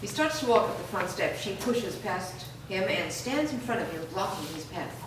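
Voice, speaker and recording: A woman reads stage directions in a plain, even way. She is far from the mic in a very echoey space with background noise. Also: medium pitch, normal speed. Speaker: narrator reading stage directions. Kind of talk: staged reading.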